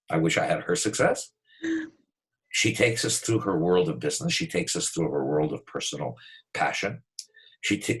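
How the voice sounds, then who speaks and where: Low-voiced effusive male talk host. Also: poor audio. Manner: expansive, flattering, repeats himself. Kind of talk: casual, effusive interview introduction.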